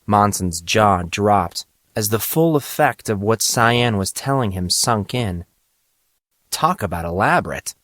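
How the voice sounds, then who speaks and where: A man's voice: on the low side, lively intonation, measured pace, a bit bright, no room sound. Male storybook narrator, very dry sound. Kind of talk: scripted audio drama narration.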